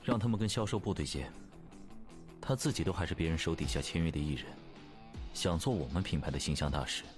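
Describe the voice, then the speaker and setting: An actor playing a composed company boss in an audio drama, speaking in a measured, restrained and terse way. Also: male voice, a bass voice, music in the background. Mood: cool, businesslike. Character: composed, devoted male company boss in love.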